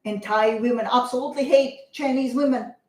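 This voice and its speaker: Woman co-host, emphatic lecturing delivery, slightly high voice, echoey room. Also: fairly even intonation, brisk, darkish tone. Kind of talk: opinionated talk show discussion.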